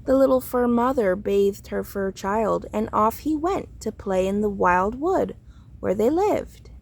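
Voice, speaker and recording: A woman narrates a children's picture book at a slow, unhurried pace. Her delivery is expressive and deliberate, with a noticeable creak in the voice. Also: mid-range voice. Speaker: storybook reader. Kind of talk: read-aloud children's story narration.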